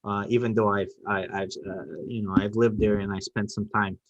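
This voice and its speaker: A man's voice: lowish voice, flat delivery, normal speed, no room sound, dull audio. A middle-aged man whose first language is Portuguese, talking casually and emphatically, often repeating phrases to make his point. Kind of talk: casual conversation.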